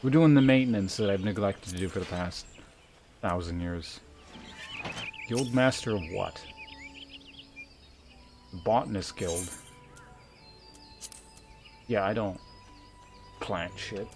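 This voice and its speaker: A man's voice: lowish voice, takes it slowly, pauses often, darkish tone. A man giving casual, rambling commentary on a game livestream, dryly sarcastic and swearing freely. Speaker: game streamer. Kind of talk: casual livestream gameplay commentary, reading chat aloud. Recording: music behind the voice. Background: game music.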